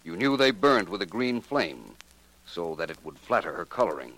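Low-voiced, expressive radio detective. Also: male voice, full character voice, low-quality recording. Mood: assured, accusing. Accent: American. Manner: measured, pointed, coolly deliberate. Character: suave, assured male amateur detective solving a murder. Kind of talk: 1940s radio detective drama acting. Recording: vintage 1940s radio recording.

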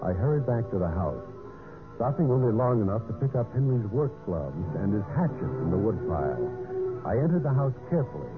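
A man's voice: medium pitch, big pitch swings, brisk, lightly acted. An actor playing a scheming, nervously evasive husband who narrates his murder plot in an old radio mystery. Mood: tense, calculating. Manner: hesitant, stalling with uhs when speaking to others. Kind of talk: 1940s-50s radio mystery acting with first-person narration. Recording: tinny band-limited vintage radio recording, music.

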